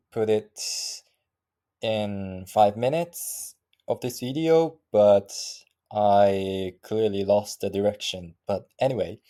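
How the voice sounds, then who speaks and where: A man in his thirties with a Japanese accent, talking alone to the camera in English, halting and often restarting as he searches for words. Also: on the low side, mellow. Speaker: vlogger practicing English. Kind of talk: casual solo monologue.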